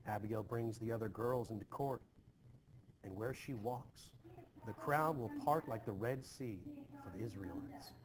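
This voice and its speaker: A man's voice: fairly low pitch, a little slow, lightly acted. Man reading as anxious Puritan wife, noisy recording. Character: anxious, dutiful Puritan farm wife, quietly reproachful. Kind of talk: expressive play reading. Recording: noticeably hissy home screen recording.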